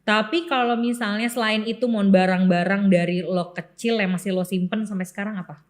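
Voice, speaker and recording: Young woman cohost, mid pitch, easygoing chat, lots of mm-hmms. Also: brisk. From Indonesia. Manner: relaxed, many listening murmurs. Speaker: podcast co-host. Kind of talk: casual chat. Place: podcast studio.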